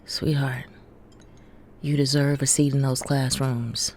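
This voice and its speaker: A woman's voice: rumbling low, level tone, slow, a little mellow, slight background noise. An actress playing a loving, protective middle-aged mother in an audio drama, affectionate but firm, with an American accent. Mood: consoling.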